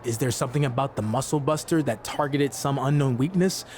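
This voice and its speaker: An earnest, determined male champion voiced by a man in a wrestling game cutscene. His voice sits at a middling pitch, with clear ambient noise around it. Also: brisk, darkish tone, natural voice. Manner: earnest, questioning. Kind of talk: video game cutscene dialogue.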